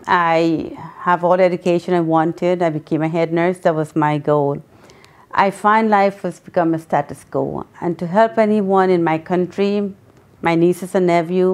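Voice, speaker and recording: A woman in her sixties from Guyana, answering interview questions earnestly, pausing often with ums. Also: lowish voice, a little mellow. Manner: earnest, with many pauses and ums. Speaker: guest, memoir author.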